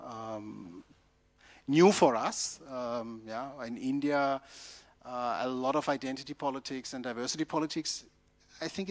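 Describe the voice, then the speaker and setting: A man speaking unscripted in an academic discussion in a conference hall, halting as he thinks aloud. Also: medium pitch.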